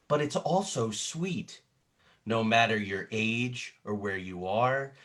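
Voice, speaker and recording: A man who works as an actor and children's storyteller, speaking with a theatrical manner. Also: fairly high pitch. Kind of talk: casual explaining and dramatic read-aloud.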